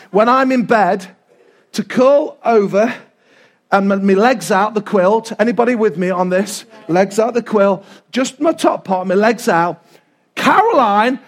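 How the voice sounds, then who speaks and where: High-pitched English preacher, a middle-aged man. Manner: animated, loud, conversational, repeats phrases for emphasis. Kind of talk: informal, humorous sermon to a congregation.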